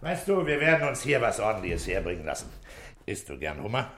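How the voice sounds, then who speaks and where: An actor playing a calm, fatherly middle-aged police inspector in a German radio drama, speaking in an unhurried, coaxing, soothing way. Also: fairly low pitch, noisy background. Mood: fatherly, kind.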